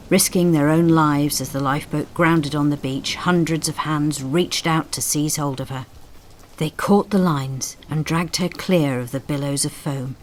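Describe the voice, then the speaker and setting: A woman reading a scripted story in an expressive, suspenseful, dramatic storytelling style. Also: sits low, slight background noise. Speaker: story narrator. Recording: storm sound effects behind voice. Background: rain, thunder and storm effects.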